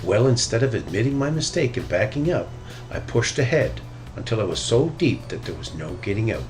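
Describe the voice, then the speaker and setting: A man's voice: fairly low pitch, big pitch swings, brisk, soft background music. A man voicing a kindly, wise old grandfather bear with a folksy, teasing manner in a children's storybook. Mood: patient, reflective.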